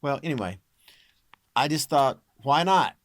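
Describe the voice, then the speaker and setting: A man with a deep voice chatting casually to his viewers in an informal, wry, self-deprecating way. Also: expressive, a little slow, dry recording. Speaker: amateur singer and video creator presenting own recording.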